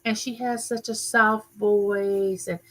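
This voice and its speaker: Older woman, livestream host; loud, bright, sharp-edged voice, chatty and welcoming by name. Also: mid-range voice, little pitch movement, takes it slowly, rough recording. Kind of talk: casual live chat with viewers. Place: home room used for filming.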